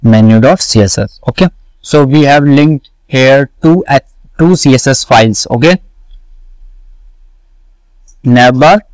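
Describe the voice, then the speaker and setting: A man walking through a coding tutorial, slowly repeating each step and answering his own questions. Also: mid-range voice, darkish tone, no room sound, poor audio. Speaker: web development tutor. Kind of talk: screen-recorded coding tutorial.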